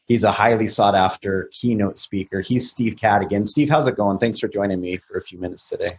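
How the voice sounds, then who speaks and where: A man's voice: on the low side, fairly quick, a little hiss or hum. A man hosting a podcast interview, upbeat and chatty, with fillers and restarts. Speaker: podcast host.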